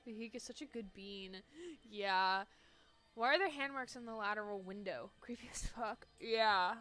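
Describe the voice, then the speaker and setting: A woman's voice: mid-range voice, moderate pace, sharp tone, soft background music. A young woman streamer who sounds congested, chatting casually and laughing as she plays a game live. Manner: chatty, laughs often, swears freely. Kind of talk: casual live gameplay commentary.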